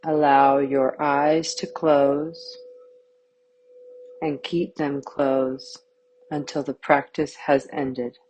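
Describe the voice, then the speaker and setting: A woman guiding a yoga nidra meditation with calm, soothing, measured scripted instructions. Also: low-pitched, a little hiss or hum, poor audio.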